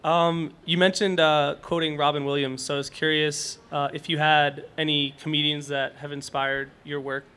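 Male audience member, smooth and bright, halting question. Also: a little high, flat delivery. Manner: hesitant, filler-heavy questioning.

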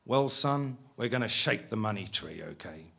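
A man's voice: on the low side, expressive, moderate pace, vocal fry, bright, forceful tone, slightly rough, heavily acted. A man reading aloud, voicing a crude, boastful, foul-mouthed male salesman in an everyday voice. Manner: swaggering, profane, gleefully boastful.

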